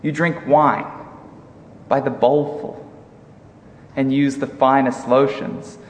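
Male scripture reader, solemn and declamatory, unhurried pace, heavy room echo. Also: medium pitch, slight background noise. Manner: solemn, declamatory, deliberate phrasing. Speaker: Bible reader in a dramatic reading. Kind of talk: dramatic scripture reading. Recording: echoey hall with long reverb.

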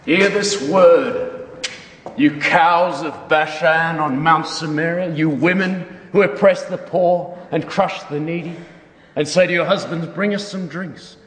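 A man's voice: fairly high pitch, slow pace, fairly bright tone. A man giving an emphatic, declamatory dramatic reading of scripture as a church member. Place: large reverberant room. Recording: distant, echoey hall with long reverb.

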